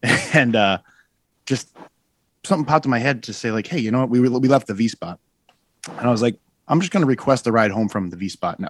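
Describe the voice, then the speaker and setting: Forty-something man, low and dry, rambling guest storyteller. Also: no room sound. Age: 40s. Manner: rambling storyteller, many fillers and self-corrections. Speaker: guest musician. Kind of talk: casual podcast chat.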